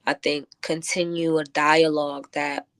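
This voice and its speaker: A woman answering radio interview questions as a guest poet, thoughtful and halting, often filling with "like". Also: low-pitched, flat delivery, a bit bright, smooth, no echo. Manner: thoughtful, halting, many filler likes. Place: close-miked radio show.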